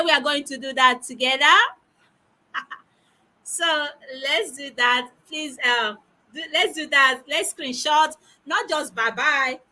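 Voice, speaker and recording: A woman with a squeaky voice, hosting an online talk show in an effusive manner, repeating her phrases. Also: pushed and bright. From Nigeria. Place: online video call.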